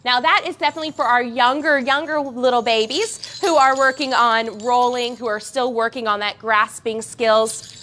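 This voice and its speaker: A young woman leads a gym class for parents and babies, talking in a coaxing, sing-song way that leaps up high on playful words. Her voice is high and bright. Bells jingle softly behind her. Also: fairly even intonation, moderate pace, a little hiss or hum. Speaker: baby and toddler gym class teacher.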